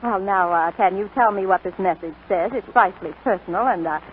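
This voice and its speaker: A woman's voice: mid-range voice, a little fast, natural voice. An actress playing a witty, teasing young American woman in a 1940s radio romantic comedy. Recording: crackly, narrow-band 1940s radio broadcast.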